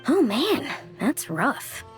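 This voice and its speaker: A woman's voice: high-pitched, very animated, extremely slow, full character voice. A woman voicing a practical young tour guide in a video game, with a matter-of-fact, friendly manner. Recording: game audio with soft music behind.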